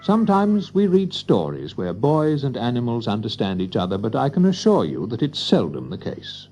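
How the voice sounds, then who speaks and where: A man narrating a scripted children's story in an expressive storytelling manner. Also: mid-range voice, normal speed, highs cut off, slight background noise.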